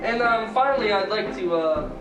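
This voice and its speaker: A young man playing a polite, admiring radio interviewer who asks questions haltingly in a sketch. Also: high voice, lively intonation. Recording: roomy distant mic under music. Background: instrumental music.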